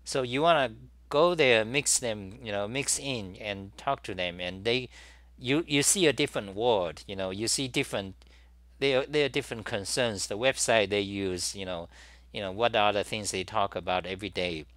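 Rambling man, bright and dry. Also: on the low side, moderate pace, dry recording. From Taiwan. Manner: meandering, many restarts and "you know" fillers. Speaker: talk show host. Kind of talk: rambling solo monologue. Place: small room at a desk.

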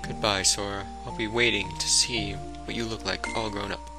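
A man's voice: on the low side, flat delivery, moderate pace, over music, close to an everyday voice. A man voicing a cocky, boyish lad from a video game in a casual, confident manner. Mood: cocky, wistful.

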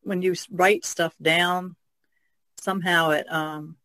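A woman on a podcast panel, explaining things casually in a halting, thoughtful way. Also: on the low side, steady tone, sharp tone, no room sound. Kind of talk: casual chat.

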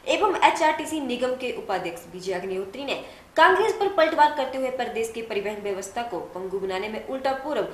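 A young woman news anchor presenting the news in a studio, reading in a brisk, formal way. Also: on the high side, echoey room, a little hiss or hum.

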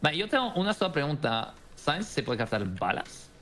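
A man's voice: on the high side, very animated, brisk, a bit bright. A man chatting casually with friends as he plays an online video game. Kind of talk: casual chat while playing online with friends. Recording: clearly noisy voice chat with clicks.

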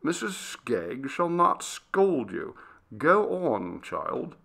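Man acting a brusque, bookish gentleman, swooping pitch. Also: big pitch swings, drawn-out words, creaky, full character voice. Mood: brusque, reassuring. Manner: formal, brusque, questioning. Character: reserved, bookish gentleman scholar, impatient and brusque.

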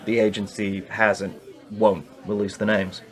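An actor in a radio play playing a suspicious political colleague who questions probingly. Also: male voice, lowish voice, level tone, measured pace, close to an everyday voice. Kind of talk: conversational radio play acting.

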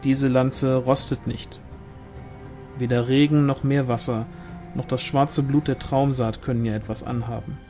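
A grave young angel warrior from a fantasy storybook , read by a man. He speaks solemnly and sternly in a mellow, mid-pitched voice. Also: flat delivery, measured pace, soft background music, natural voice. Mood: solemn, assured.

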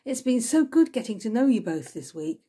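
Woman acting earnest young woman, husky voice. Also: fairly high pitch, sing-song, moderate pace, mellow, pitched above the performer's own voice. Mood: warm. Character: Zoe, kindly young woman at a retreat house.

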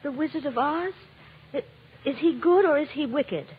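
A woman's voice: high-pitched, moderate pace, narrow, tinny audio, lightly acted. An actress playing an earnest, anxious young farm girl in a vintage radio drama. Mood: anxious, wondering. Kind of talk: 1930s-50s radio acting. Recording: thin vintage radio broadcast with music.

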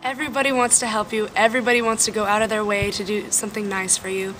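A woman's voice: medium pitch, little pitch movement, quick pace, loud music behind the voice, low-quality recording. A nineteen-year-old woman, a student, chatting in an interview outdoors on a campus. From Houston, Texas. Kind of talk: casual interview answers.

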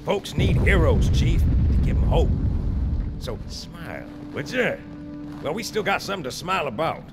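A man playing a gruff, wisecracking marine sergeant in a video game, with a gravelly, cocky, folksy drawl. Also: a little high, animated, normal speed, loud music behind the voice, natural voice. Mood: teasing. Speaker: game character voice. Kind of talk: video game cutscene acting.

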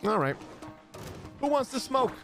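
A man's voice: extremely high pitch, big pitch swings, measured pace, gravelly. A man in his thirties giving casual live commentary as he plays, close on a desk microphone. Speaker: game streamer. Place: indoor gaming room. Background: faint game music.

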